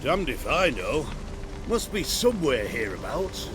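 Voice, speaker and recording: A man's voice: a little high, big pitch swings, a little slow, music in the background. An actor playing a cocky, crude bandit in a fantasy game, mocking and loose in his talk. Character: cocky, crude male bandit, jeering and boastful. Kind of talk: fantasy video game dialogue.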